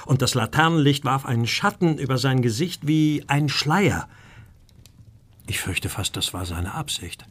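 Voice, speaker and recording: An actor playing an anxious, well-bred Victorian art dealer in a radio play, telling his story in a formal, measured way. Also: mid-range voice, animated, a bit bright, husky. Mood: troubled.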